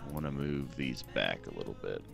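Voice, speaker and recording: A young man with a deep radio-style voice chatting casually on a live stream while he narrates his design work. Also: animated, brisk, creaky, music in the background. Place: small room at home. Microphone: headset or desk mic at a computer.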